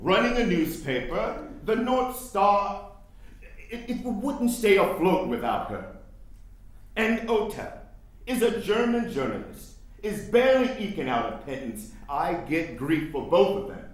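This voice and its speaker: A man's voice: high-pitched, very animated. A man playing a wary, principled nineteenth-century abolitionist in a staged play reading, with formal, emphatic delivery. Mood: exasperated. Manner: emphatic, deliberate, formal diction with dramatic pauses. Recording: very echoey room.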